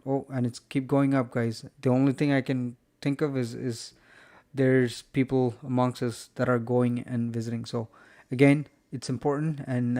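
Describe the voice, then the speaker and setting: A man's voice: medium pitch, dark tone. A man hosting a live stream from home, wrapping up the show in a rambling, friendly chat full of fillers and restarts. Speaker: host.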